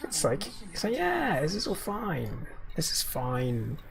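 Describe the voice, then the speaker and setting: A man's voice: on the high side, huge pitch swings, slow pace, gravelly, crowd noise. A man with a slightly scratchy voice, chatting through a live game stream, muttering asides and answering viewers by name at his desk mic. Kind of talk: live gameplay commentary.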